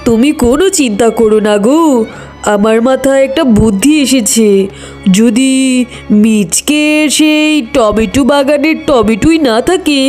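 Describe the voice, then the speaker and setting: A woman's voice: high voice, a little slow, darkish tone, faint music behind. A woman voicing a scheming tiger's wife in a children's cartoon, coaxing and conspiratorial.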